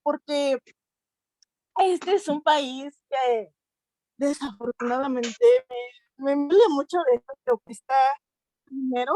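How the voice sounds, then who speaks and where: A sixteen-year-old Mexican girl with a Mexican accent, speaking earnestly at a youth colloquium, often pausing to search for words and restarting her sentences. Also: sits high, mellow, slight background noise, rough recording. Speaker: essay contest participant. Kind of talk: unscripted talk at a youth colloquium.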